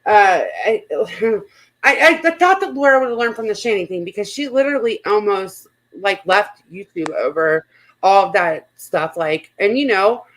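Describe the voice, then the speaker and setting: A woman talks casually as a livestream panel host, with a middling pitch. Her manner is blunt and informal, and she swears freely as she gives gossipy commentary. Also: animated, moderate pace, rough recording.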